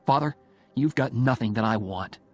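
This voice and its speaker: A man plays a hot-headed young student in an audiobook story. He speaks with defiant, emphatic insistence in a husky voice lifted above his low narrating pitch, over music. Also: moderate pace, lightly acted. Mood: angry, defiant. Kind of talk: audiobook character dialogue.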